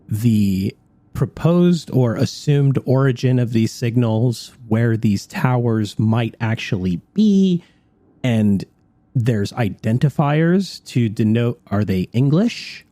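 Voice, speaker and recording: A man's voice: mid-range voice, warm tone, no room sound. A man co-hosting a radio show, explaining things in a conversational talk-show style.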